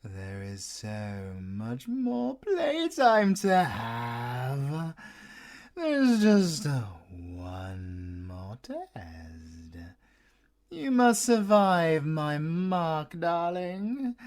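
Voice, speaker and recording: A sadistic British werewolf villain for an audio roleplay. His delivery is very slow and savoring, lurching across a huge range of pitch from hushed whispers to manic glee. He is possessive and theatrical, relishing every endearment. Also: wildly swooping pitch, exaggerated voice. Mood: possessive, coaxing. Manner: theatrical, purring endearments, breaking into laughter and whispers.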